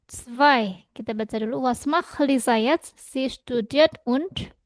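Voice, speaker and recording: A woman teaching German in an online lesson. She is patient and explains in two languages, ending nearly every phrase with a quick "ya". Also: high-pitched, dry recording, studio quality. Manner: switches between German and Indonesian to explain.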